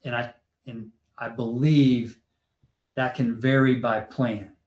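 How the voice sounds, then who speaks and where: A man moderating an online panel discussion. He talks in a relaxed way, thinking aloud with fillers and repeats. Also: mid-range voice, takes it slowly. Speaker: webinar moderator. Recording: roomy, dull lo-fi audio.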